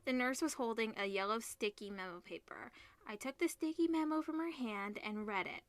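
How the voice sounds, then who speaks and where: A woman reading a visual novel aloud as a let's player, expressive, mixing narration with casual reactions. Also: a little high, moderate pace, no room sound. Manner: expressive, switches between narration and character lines.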